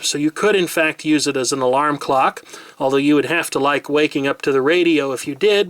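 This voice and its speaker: A man's voice: a little high, a bit bright, dry recording. A middle-aged man from the United States, reviewing a portable radio at his desk in a precise, wordy way with self-mocking asides. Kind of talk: product review narration. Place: desk in a home room.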